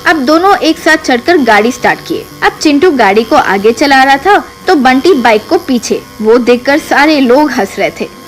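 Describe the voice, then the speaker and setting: A woman's voice: high voice, expressive, moderate pace, soft background music, low-quality recording. A woman narrator reading a scripted story.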